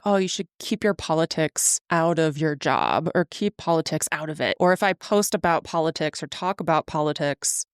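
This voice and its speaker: A woman's voice: low-pitched, dry recording, clean studio sound. A woman in her early forties hosting a podcast, talking in an earnest, chatty way and laughing easily. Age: 40s. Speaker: podcast host. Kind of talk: casual podcast chat.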